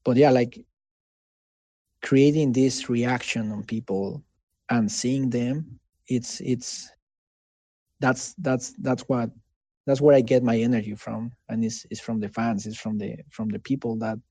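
A man with a Mexican accent and Spanish as his first language, answering casually in an interview in an earnest, halting way, often checking for agreement. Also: medium pitch, steady tone, mellow, no echo. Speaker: interviewee, game developer.

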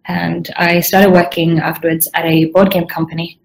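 A woman's voice: lowish voice, little pitch movement, moderate pace, poor audio. A young woman from Sweden and Southern Africa with a slightly strained throat, explaining her work as a game designer in an informal interview. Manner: frequent throat clearing. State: strained voice, needing to clear throat.

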